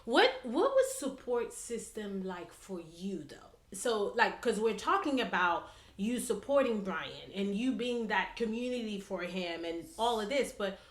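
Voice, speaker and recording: A woman's voice: mid-range voice, big pitch swings, unhurried, hardly any pauses. A woman hosting a casual conversational interview, affirming her guest as she goes. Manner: affirming, frequent agreement. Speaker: podcast host, interviewer. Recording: roomy, echoing sound.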